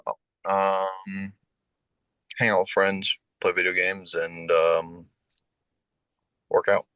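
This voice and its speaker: A man's voice: sits low, completely flat delivery, slow pace, fairly bright tone, clear, resonant tone, no room sound, poor audio. A twenty-year-old man giving a casual, hesitant introduction as a dating show contestant.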